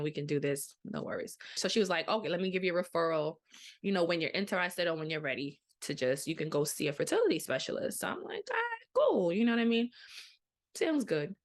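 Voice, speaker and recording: A woman in her thirties hosting a podcast, telling a personal story in a casual, chatty way with lively quoted asides. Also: sits low. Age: 30s. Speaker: podcast host. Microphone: podcast microphone.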